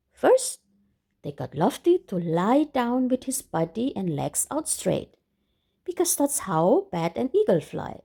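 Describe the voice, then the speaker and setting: A woman with a high, very animated voice reads a picture book aloud for children. She is expressive, often exclaiming and drawing listeners in with questions as she comments on the story.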